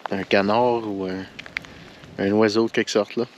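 Low-voiced Québécois man vlogging outdoors. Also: moderate pace, muffled recording. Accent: Quebec French. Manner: relaxed, hesitant, colloquial. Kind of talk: casual vlog chat to camera. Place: outdoors on a forest hiking trail and mountain summit. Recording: outdoor ambient noise behind voice.

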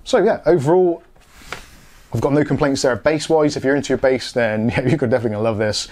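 A man's voice: medium pitch, quick pace, a little mellow. A British man in his forties giving a casual, informal product review to camera on a lapel mic, thinking aloud as he goes. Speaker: tech reviewer, video host. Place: small home studio room, indoors. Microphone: lavalier. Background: music from speakers being tested.

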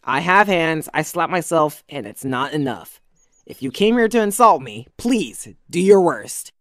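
Woman as a low-voiced glum teen boy. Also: animated, a little slow, no echo, clean studio sound. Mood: self-blaming. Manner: self-blaming, downcast. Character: glum, guilt-ridden teenage boy. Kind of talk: cartoon comic dub acting.

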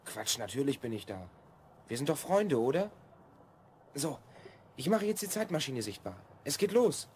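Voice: mid-range voice, sing-song, normal speed, pauses often, darkish tone, a little hiss or hum, lightly acted. An actor voicing an earnest, slightly bossy twelve-year-old boy time traveller in a German children's audio drama. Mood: instructing. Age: child figure, twelve.

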